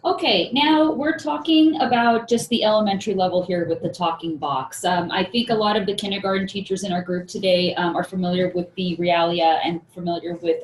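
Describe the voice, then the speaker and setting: A woman's voice: medium pitch, roomy sound, a little hiss or hum, poor audio. A woman presenting a teaching webinar in a conversational way, with many fillers and restarts.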